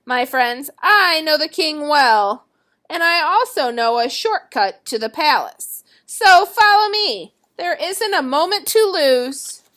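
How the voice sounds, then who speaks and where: A sly gentleman fox from a storybook, as a woman reads him. He coaxes with false kindness and fussy concern, in a high, bright voice. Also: animated, takes it slowly, full character voice. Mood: falsely concerned. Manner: solicitous, coaxing. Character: sly male fox, falsely kind, smooth-talking charmer.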